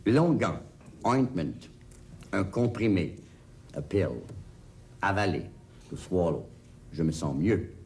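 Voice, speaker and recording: Deliberate male language teacher, slow delivery. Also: low-pitched, a little hiss or hum. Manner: calm, deliberate, explanatory. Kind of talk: vocabulary explanation.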